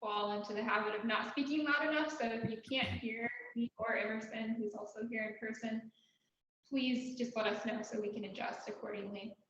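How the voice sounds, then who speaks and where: Soft-spoken woman in an echoey room. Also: fairly high pitch, fairly even intonation, a little fast. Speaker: club president running a meeting. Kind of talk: casual meeting opening. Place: meeting room, in person. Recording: very echoey room, dull sound.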